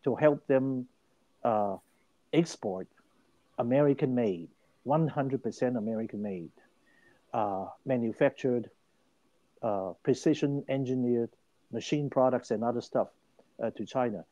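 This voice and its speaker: Older man from Hong Kong, mellow voice. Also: mid-range voice, measured pace, pauses often, no room sound, dull audio. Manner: animated and emphatic, many restarts. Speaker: guest. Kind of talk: interview storytelling.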